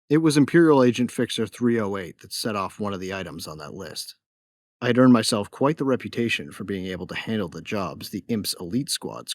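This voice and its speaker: A man's voice: low-pitched, no room sound, studio quality. A man playing a young bounty hunter in a sci-fi audio drama, telling his story in a wry, laid-back, cocky way. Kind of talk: first-person audio drama narration.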